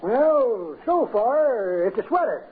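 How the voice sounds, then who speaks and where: A high-voiced, folksy old codger. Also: male voice, sing-song, slow pace, slightly rough, full character voice. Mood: jolly, joking. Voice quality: high, cracked old-timer voice. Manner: folksy, slangy drawling jokes. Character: folksy, jolly, wisecracking old man. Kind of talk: 1950s radio comedy acting. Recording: tinny narrow-band old radio recording.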